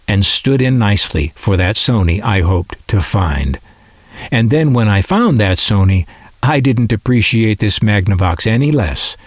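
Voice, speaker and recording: Low-voiced man with lively intonation. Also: no echo. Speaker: radio collector presenting their collection. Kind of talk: scripted narration.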